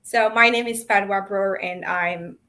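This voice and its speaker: A woman's voice: medium pitch, fairly even intonation, moderate pace, bright, forceful tone. A young woman from Morocco, telling her career story earnestly as a panel guest on a video call, often restarting her sentences.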